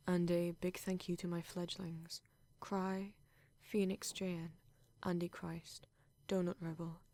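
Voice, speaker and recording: A woman with a British accent giving a soft-spoken, near-whispered scripted outro as an ASMR creator. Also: lowish voice, a little hiss or hum.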